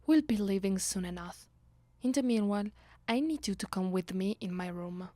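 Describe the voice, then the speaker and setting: A woman's voice: mid-range voice, animated, normal speed, warm tone, no echo, close to an everyday voice. A woman with an Italian accent playing a commanding, teasing vampire noblewoman in an ASMR roleplay, imperious and playful, often slipping toward a whisper. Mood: seductive, commanding. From Italy. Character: commanding, teasing, seductive aristocratic female vampire. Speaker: voice actress.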